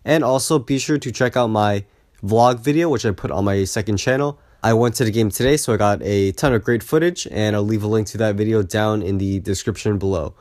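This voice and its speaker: A man speaks in a low voice that sounds sick and partly lost. He delivers casual sports analysis like a video host talking to his viewers, opinionated and peppered with "you know". The sound is dry, with very little room around it. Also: fairly quick, darkish tone, no echo. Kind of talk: casual basketball recap and analysis vlog.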